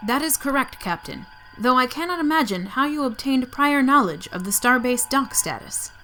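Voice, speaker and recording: A woman's voice: high-pitched, animated, moderate pace, bright, forceful tone, a little hiss or hum. A woman playing a coolly logical starship science officer in a sci-fi audio drama, speaking formally and precisely. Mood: cool, composed.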